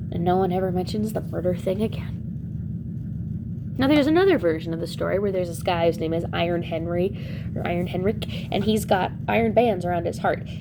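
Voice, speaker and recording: A woman tells a fairy tale in a breezy, wry, colloquial way. Her voice is full of vocal fry. The recording has clear noise behind it. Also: fairly low pitch. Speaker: storyteller and teacher. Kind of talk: informal comic fairy tale retelling.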